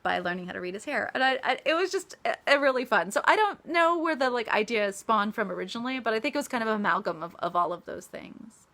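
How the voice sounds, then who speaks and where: A woman's voice: on the high side, expressive, quick pace, a bit bright. A woman author answering reader questions in a casual, chatty livestream style with emphatic asides.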